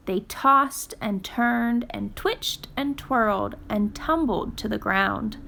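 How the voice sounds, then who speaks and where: Slow, high-voiced woman reading a storybook. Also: gravelly, a bit bright. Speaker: storytime reader. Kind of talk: expressive children's storybook narration.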